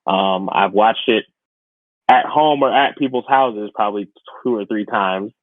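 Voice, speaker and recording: A man's voice: on the low side, unhurried, no room sound. A man co-hosting a podcast in casual, conversational chat. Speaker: podcast co-host. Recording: tinny, phone-like, dry sound.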